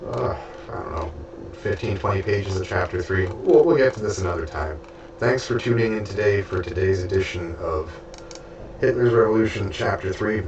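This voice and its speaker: A man reading a book aloud with spoken asides, stuffy and losing his voice, halting and stumbling over names. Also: low voice, a little mellow, lo-fi sound. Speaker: audiobook reader and streamer. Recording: roomy, distant from mic, faint background hiss.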